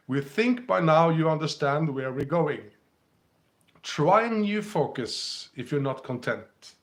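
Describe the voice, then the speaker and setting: A man with a Norwegian accent hosting a live spiritual stream on his phone, gently reading comments and passages aloud and chuckling often. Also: a little high.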